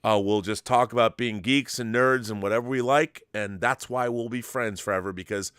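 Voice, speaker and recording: A man's voice: mid-range voice, steady tone, moderate pace, a bit bright, smooth, no echo, clean studio sound. A man hosting a fan show, chatting casually and giving opinions in an emphatic, rambling, sweary way. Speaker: host of a film fan live show. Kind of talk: casual chat and opinionated commentary.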